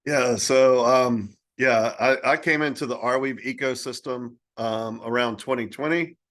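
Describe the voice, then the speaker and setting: A man speaking as a panelist on a video call, halting, with many "um" pauses. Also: medium pitch, steady tone, a bit bright. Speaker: panelist, protocol builder. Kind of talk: casual panel talk.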